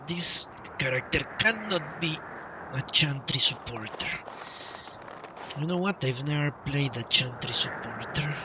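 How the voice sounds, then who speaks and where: A man musing aloud as he gives live commentary on a video game playthrough. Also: on the high side. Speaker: game streamer. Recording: distant-sounding mic, game music behind. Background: video game music.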